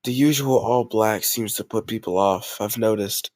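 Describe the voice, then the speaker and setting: A man's voice: on the low side, moderate pace, a little mellow, dry recording, close to an everyday voice. A man playing a tough gang leader who is a playful, doting boyfriend in an intimate roleplay audio, teasing and mock-grumbling affectionately. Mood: teasing, tender.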